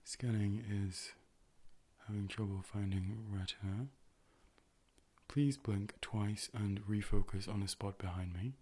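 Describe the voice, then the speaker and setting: A calm, reassuring A.I. eye doctor played by a man with a low male voice. The delivery is soft ASMR role play, gently clinical and formal, sometimes slipping into a whisper. It is captured on a close microphone with a faint background hiss. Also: lightly acted. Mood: measured, mechanical. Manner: measured, formal step-by-step instructions, gently clinical. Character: calm, polite robot A.I. optician, clinical and reassuring. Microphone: close binaural microphone.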